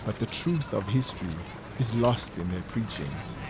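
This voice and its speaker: A man giving solemn, measured, scripted narration for a dramatic audio story. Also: on the low side, animated, very noisy. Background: music and ambience.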